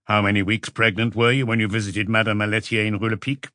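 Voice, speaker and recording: A man's voice: lowish voice, little pitch movement, fast talker, sharp tone, no echo, studio quality. A man voicing a persistent police chief questioning suspects bluntly in audiobook dialogue. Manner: blunt, probing.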